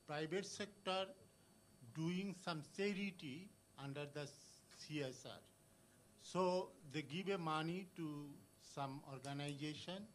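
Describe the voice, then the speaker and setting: A man from Bangladesh making a halting comment from the audience at a conference, with many pauses and restarts. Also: fairly high pitch, a little hiss or hum. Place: conference hall.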